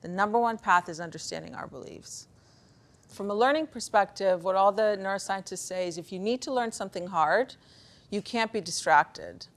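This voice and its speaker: A woman speaking casually in a motivational talk, telling personal stories in an animated, conversational way. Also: mid-range voice, moderate pace. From Pakistan, of Afghan heritage. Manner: conversational, animated storytelling, acting out bits of dialogue. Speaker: speaker giving a conference talk on beliefs and performance.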